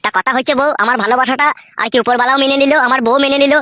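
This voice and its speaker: A woman's voice: sits high, fairly even intonation, rapid-fire, pushed and bright, dry recording, clean studio sound. A woman playing a crude, swaggering husband in a comedy cartoon, with a coarse, bossy way of talking. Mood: gloating, lewd. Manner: coarse, brash, bossy, swearing. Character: crude, swaggering young husband with two wives.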